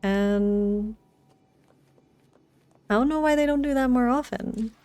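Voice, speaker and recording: A woman's voice: fairly high pitch, slow, darkish tone, clean tone, dry recording, soft background music. A woman chatting casually as she streams a video game, talking close to the microphone. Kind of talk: casual livestream chat and commentary.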